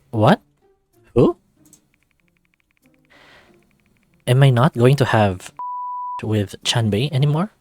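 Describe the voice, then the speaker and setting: A man's voice: mid-range voice, darkish tone. A man voicing a bewildered, self-conscious young male wolf in a visual novel, hesitant and questioning. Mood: startled, confused.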